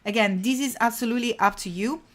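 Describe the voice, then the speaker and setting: A woman's voice: on the high side, fast. Chatty Italian woman hosting a design stream. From Italy. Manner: chatty, warm toward viewers, many uh fillers. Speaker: livestream design instructor. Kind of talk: casual live tutorial chat.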